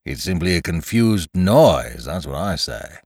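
A man's voice: low voice, very animated, measured pace, darkish tone, no room sound, studio quality, voice pushed down, full character voice. A man voicing a gloomy, put-upon donkey in a children's story, grumbling ponderously and stumbling over long words. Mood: gloomy, resigned.

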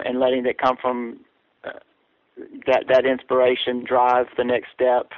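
A man's voice: medium pitch, gravelly, no echo, narrow, tinny audio. A man, calling in as a coaching client and talking reflectively, searching for his words. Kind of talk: reflective conversational talk.

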